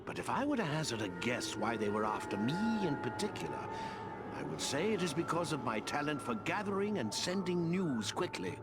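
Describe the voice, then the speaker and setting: An actor playing a kindly, eccentric old woodland wizard in a fantasy game, chatty and expressive. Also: male voice, a little high, brisk, very noisy. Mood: wry, reassuring. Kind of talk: fantasy game character acting. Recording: loud music under dialogue.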